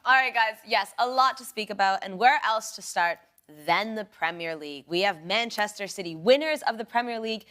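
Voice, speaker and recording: A woman's voice: mid-range voice, fairly quick, hardly any pauses, a bit bright. Upbeat woman hosting a football show. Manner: upbeat, teasing, laughs often. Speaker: show host. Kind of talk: presenting a football chat show.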